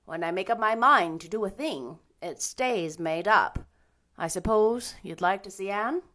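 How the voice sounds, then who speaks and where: A woman narrator voices a no-nonsense middle-aged country woman from a storybook. She is dry and firm, with a bright, forceful edge and resolute delivery. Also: medium pitch, animated, unhurried.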